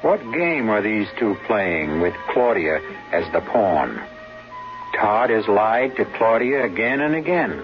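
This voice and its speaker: A male host narrates a suspenseful 1970s radio mystery. He is low-pitched and very slow, posing questions dramatically, with music clearly behind him. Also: sing-song. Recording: muffled 1970s radio broadcast.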